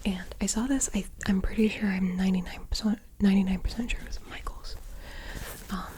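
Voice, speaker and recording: A woman rambling in a half-whispered, soft-spoken ASMR style, breathy and unhurried, talking close to a sensitive mic. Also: mid-range voice, fairly even intonation, slight background noise. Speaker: ASMR creator.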